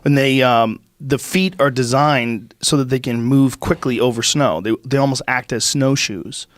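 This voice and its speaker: A man's voice: mid-range voice, moderate pace, no echo. Man, podcast host, stuffy from a cold, swears a lot, dry close studio mic. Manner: animated, profane storytelling. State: congested from a cold. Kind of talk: casual chat. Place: studio with brick wall. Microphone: studio mic on boom arm, headphones.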